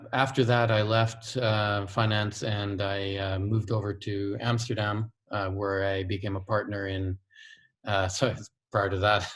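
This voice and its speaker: A man from Canada in his fifties gives a guest lecture with many pauses and fillers. His voice is low and keeps a flat, even line. He sounds like a veteran practitioner talking to students. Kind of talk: recorded online lecture.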